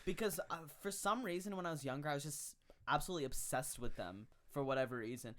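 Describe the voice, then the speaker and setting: A man's voice: fairly high pitch, fast talker, a bit bright. A young man co-hosting a casual podcast with friends, joking, teasing and laughing often. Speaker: podcast co-host. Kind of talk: casual chat among friends.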